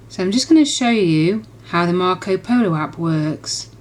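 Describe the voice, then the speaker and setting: Chatty low-pitched woman reviewing. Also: expressive, normal speed. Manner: chatty, rambling, enthusiastic. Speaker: app reviewer. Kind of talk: casual chatty demonstration.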